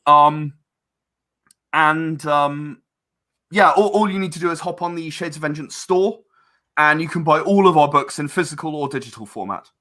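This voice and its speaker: A man's voice: a little high, normal speed, bright, forceful tone. A man chatting casually as an interview guest, hesitant and self-correcting, earnest and polite. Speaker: interview guest, game publisher and audio drama creator.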